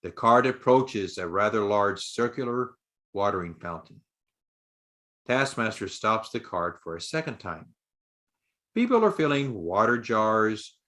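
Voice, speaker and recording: A man narrating a scripted story in a deliberate, dramatic audiobook style. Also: fairly low pitch, a bit bright.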